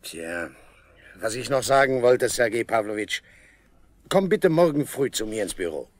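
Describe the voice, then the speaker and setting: An actor playing a caring, jovial village official in a 1970s German radio play, reassuring and chatty in an avuncular way. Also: male voice, mid-range voice, very animated, moderate pace, no room sound, natural voice. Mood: caring, brisk.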